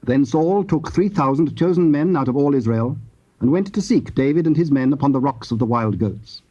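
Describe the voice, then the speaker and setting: A man narrating dramatized scripture in a formal, solemn and measured storytelling style. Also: medium pitch, expressive, dark tone. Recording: muffled, hissy old cassette tape.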